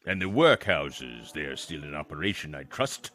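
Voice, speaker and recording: A man's voice: extremely low pitch, big pitch swings, moderate pace, sharp tone, full character voice. A man playing a miserly, irritable old businessman in a radio play, curt and scornful in his delivery. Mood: scornful, demanding. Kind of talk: theatrical radio play acting.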